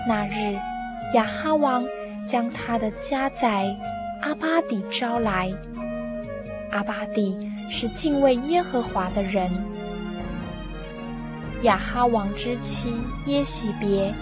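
A woman narrator telling a scripted story for a radio drama. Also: fairly high pitch.

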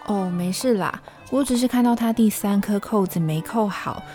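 A mellow young female voice at a middling pitch, with a Taiwanese Mandarin accent, an actress playing an exact, nerdy friend. The delivery is matter-of-fact radio drama acting over faint music. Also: moderate pace, lightly acted. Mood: observant. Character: precise, nerdy young woman, fond of statistics.

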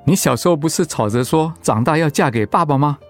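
An actor playing a gentle, steadfast, reassuring middle-aged father in a Mandarin radio drama. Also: on the high side, lively intonation, fairly quick, faint music behind. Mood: teasing.

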